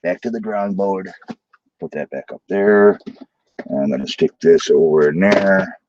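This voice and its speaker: A man with a St. Louis accent hosting a late-night live stream from his room, joking loudly and swearing freely. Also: sits low, unhurried, no echo, lo-fi sound. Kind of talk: casual live stream chat.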